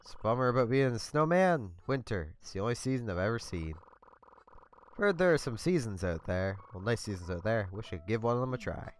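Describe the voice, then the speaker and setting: A cheerful, wistful little video game snowman chatters away in a bright, lifted voice, read out loud by a congested man. The voice sits a little higher than the reader's own and moves along at an easy pace. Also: big pitch swings, a little fast, slight background noise. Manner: reads on-screen lines aloud in a light cheerful voice.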